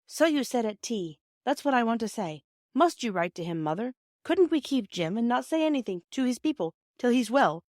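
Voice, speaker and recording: An earnest British schoolboy, played by a woman reading a storybook, pitched high and pushed a little louder. He talks in old-fashioned slang with a pleading, boyish eagerness, recorded dry and close. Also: big pitch swings, normal speed, dry recording. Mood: eager, earnest. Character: eager, earnest English schoolboy, her son.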